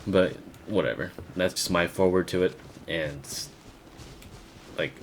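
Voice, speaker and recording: Deep-voiced young man ranting. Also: measured pace. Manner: blunt, profane, rambling. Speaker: gaming video creator. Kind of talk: casual opinionated rant. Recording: faint background noise.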